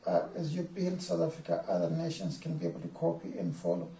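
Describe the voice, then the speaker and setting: A man's voice: a little high, sing-song, quick pace, creaky, mellow, roomy sound, distant mic, low-quality recording. A man interviewing a guest in a studio for a TV program, talking hesitantly with fillers and restarts.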